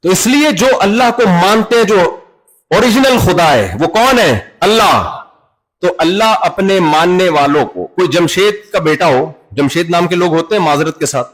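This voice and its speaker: A man's voice: sits high, lively intonation, normal speed, rough recording. A man with an Urdu-speaking Pakistani accent giving a sermon, talking conversationally and acting out little dialogues with everyday examples. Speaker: Islamic preacher.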